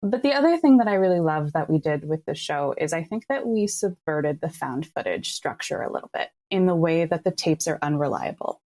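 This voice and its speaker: A woman with a fairly low, very mellow voice speaks as an interview guest. She explains creative choices in an articulate, enthusiastic way. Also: big pitch swings, a little fast, few pauses. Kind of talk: thoughtful explanatory interview talk.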